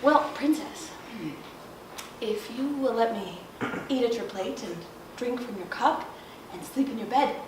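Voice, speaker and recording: A woman voicing a huge, polite male frog in a measured, patient storybook manner. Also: on the high side, voice pushed down, natural voice. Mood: polite bargaining. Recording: roomy, distant microphone, faint noise.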